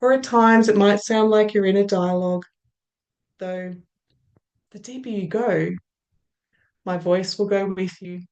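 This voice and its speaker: Australian woman hypnotist, unhurried, soft trailing phrases. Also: mid-range voice. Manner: slow, soothing, drawn-out phrases that trail off softly. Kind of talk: guided hypnosis induction.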